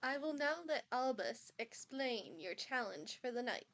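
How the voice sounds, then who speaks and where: A woman's voice: on the high side, animated, measured pace, fairly bright tone, rough recording. A woman playing a stern but kindly older witch professor, formally addressing pupils in an amateur audio drama. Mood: ceremonial.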